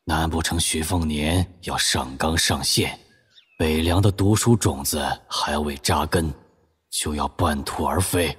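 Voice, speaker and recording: An actor playing a stern, stubborn old academy master in an audio drama, raspy and grave as he admonishes. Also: extremely low pitch, measured pace, fairly bright tone, dry recording, full character voice. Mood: worried. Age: older. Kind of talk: Chinese audio drama acting.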